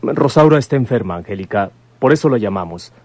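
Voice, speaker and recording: A man playing a grave, considerate son in a radio drama, speaking politely and haltingly. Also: mid-range voice, animated, no echo. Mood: hesitant, grave. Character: grave, considerate son breaking bad news.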